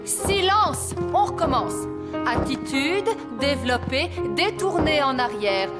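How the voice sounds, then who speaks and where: A woman's voice: sits high, animated, slow, over loud music. A woman playing a strict, demanding ballet teacher in a French cartoon, giving clipped, commanding instructions.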